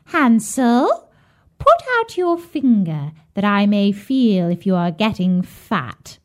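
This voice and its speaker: Fairy-tale witch, performed by a female reader: old, jeering, theatrical, with big swoops in pitch and stretched-out words. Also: big pitch swings. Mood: bossy, scolding. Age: elderly. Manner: theatrical, drawn-out, scolding delivery. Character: wicked old witch, jeering, bossy, falsely sweet. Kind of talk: fairy-tale storybook character voice.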